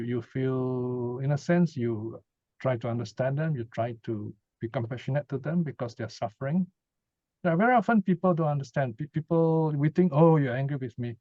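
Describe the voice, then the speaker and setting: A man's voice: mid-range voice, warm tone, no room sound. A man giving an informal Buddhist teaching talk and answering questions, conversational and often checking in with short tag questions. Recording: dull lo-fi sound, dry.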